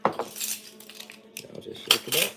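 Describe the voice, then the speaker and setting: Slightly hoarse man, chatty coach. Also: extremely slow, heavy background noise. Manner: relaxed, chatty, advice-giving. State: slightly hoarse, recovering from illness. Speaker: nutrition coach. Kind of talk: casual live cooking chat. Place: home kitchen, indoors. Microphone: phone camera at arm's length, earbuds.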